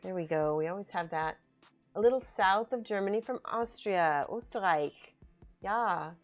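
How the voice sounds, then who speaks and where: A woman's voice: medium pitch, normal speed. A woman hosting a casual livestream, chatting and laughing as she reads viewer comments aloud. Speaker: miniature painting streamer. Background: faint background music.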